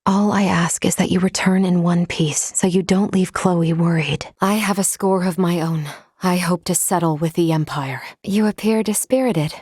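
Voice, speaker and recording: A woman voicing a composed, dutiful android crew member in a video game, speaking in a measured, formal, precise way. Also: fairly low pitch, fairly even intonation, a little mellow, husky, dry recording, clean studio sound. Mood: calm, measured. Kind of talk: scripted video game character lines.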